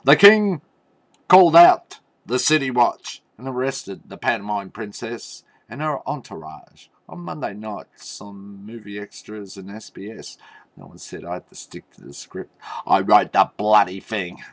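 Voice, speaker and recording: A man telling a mock fairy tale in a theatrical, tongue-in-cheek way, with halting pauses and knowing asides. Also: on the low side, lively intonation, no room sound. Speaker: storyteller.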